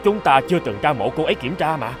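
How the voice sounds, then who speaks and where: A man acting frightened, superstitious village men in an animated folktale, with a dramatic, exclamatory delivery. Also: fairly high pitch, fast, over music. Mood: anxious.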